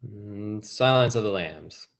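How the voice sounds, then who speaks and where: Man acting low-voiced, guarded teenage boy. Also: lively intonation, slow, creaky, full character voice. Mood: hesitant. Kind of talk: table read of a film script over video call. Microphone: video call.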